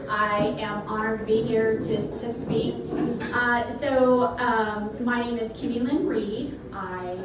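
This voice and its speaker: A woman's voice: a little high, steady tone, slow pace. A middle-aged woman with a South Carolina accent, speaking as a guest at a networking event in a folksy, chatty way. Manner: folksy, chatty, repeats words. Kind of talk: networking talk to a live audience. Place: event space. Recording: echoey room from far off, noisy.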